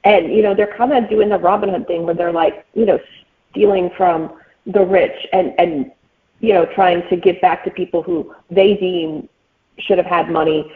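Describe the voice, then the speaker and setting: A woman's voice: lowish voice, steady tone, normal speed, narrow, tinny audio. A woman speaking as an author guest in a casual interview, explaining things informally with frequent filler phrases. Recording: thin band-limited call sound.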